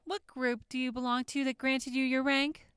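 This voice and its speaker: A woman's voice: high voice, level tone, normal speed, a bit bright, clean tone, no echo, clean studio sound. An actress playing a composed woman prosecutor who questions formally and pointedly in an audio drama courtroom. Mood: cool, pointed.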